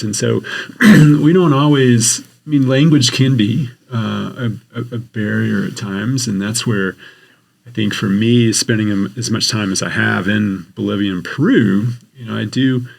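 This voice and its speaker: A man with a husky, gravelly voice talks through his expedition experiences in an earnest, explanatory way. He speaks like a professor in a relaxed podcast interview, stopping now and then to rephrase. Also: lowish voice, moderate pace. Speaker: geography professor and expedition researcher.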